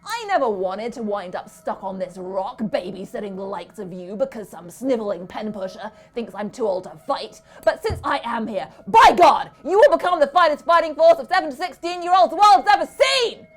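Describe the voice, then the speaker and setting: A woman voicing a gruff, contemptuous old male army colonel who barks loud orders. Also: mid-range voice, fairly quick, few pauses, close to an everyday voice. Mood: blustering. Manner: barking shouted orders. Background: music.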